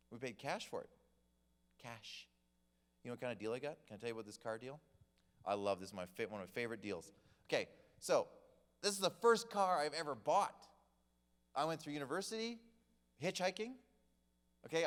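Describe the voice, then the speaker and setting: A man giving a casual, joking sermon, telling stories to the congregation. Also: fairly high pitch. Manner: chatty, joking storyteller. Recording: long reverb of a hall.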